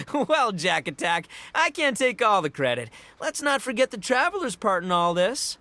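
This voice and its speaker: A brash, big-hearted gang boss from a video game. The voice is bright and forceful and leaps around with showy, slangy swagger. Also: male voice, high-pitched, big pitch swings, measured pace, heavily acted. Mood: jovial, boastful.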